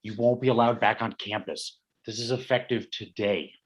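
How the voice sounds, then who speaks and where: A man acting a concerned but unbending school official, speaking in a quiet, measured way in a stage play reading. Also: fairly low pitch, fairly even intonation, slightly rough. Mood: firm, grave.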